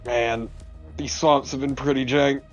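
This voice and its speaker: A man's voice: medium pitch, fairly even intonation, a little slow, muffled recording. Man making wry gaming side remarks. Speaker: co-commentator watching the game. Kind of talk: casual chat. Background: video game music.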